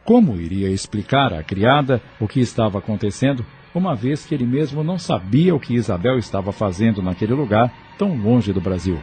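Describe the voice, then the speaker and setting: A man's voice: fairly low pitch, lively intonation, fairly quick, few pauses, a little mellow. A man narrating an audio novel in a scripted, dramatic storytelling style, with faint ambient noise.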